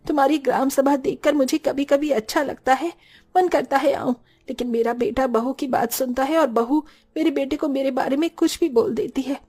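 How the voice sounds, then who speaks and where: A woman voicing a gentle, long-suffering mother in her fifties in a Hindi cartoon story. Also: high-pitched, fairly even intonation, moderate pace, lightly acted. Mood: resigned, sad. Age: 50s. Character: gentle, long-suffering fifty-year-old well-off mother.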